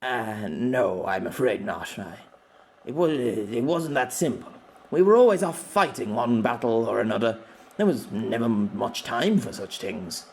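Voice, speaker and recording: A man's voice: medium pitch, animated, a little slow, vocal fry. A man voicing a stuffy, proud old former knight with a formal, hesitant manner, in an audiobook story. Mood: awkward, wistful.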